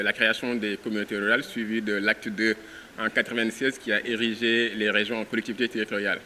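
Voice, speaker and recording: Bright, low-voiced Senegalese man explaining. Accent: Senegalese French. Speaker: civil servant. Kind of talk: unscripted explanatory remarks. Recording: faint hiss behind the voice.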